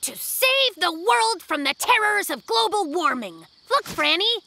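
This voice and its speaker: A performer voicing an excitable little cartoon bear, a boastful, melodramatic inventor who exclaims and stretches out words. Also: very high voice, a little slow, creaky, heavily acted. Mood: self-important.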